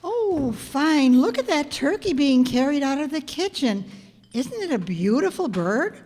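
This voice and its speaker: A woman's voice: high-pitched, very animated, a little slow, a little mellow. A woman playing a wry, scheming, lovestruck schoolteacher with dry wisecracks, in old-time radio comedy style. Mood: coy, delighted. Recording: echoey hall, long reverb.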